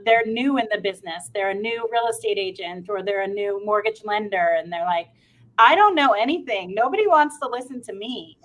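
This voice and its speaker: A woman's voice: fairly high pitch, a bit bright, poor audio. A woman co-hosting in an informal, encouraging way, asking questions in a conversational style. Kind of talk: conversational interviewing.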